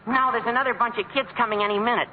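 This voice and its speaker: Excitable teen boy bossing everyone as police chief, high voice, tinny old radio, hissy. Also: racing. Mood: eager. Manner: earnest, excitable, self-important. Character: eager, bossy teenage boy playing police chief. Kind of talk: 1950s radio sitcom acting.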